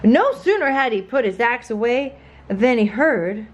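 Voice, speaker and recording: A woman reading a picture book aloud to a child in a playful, expressive way, with encouraging asides. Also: fairly high pitch, measured pace, a little hiss or hum. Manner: playful, expressive delivery of story words. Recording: ukulele plucking loudly behind the voice. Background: loud ukulele music.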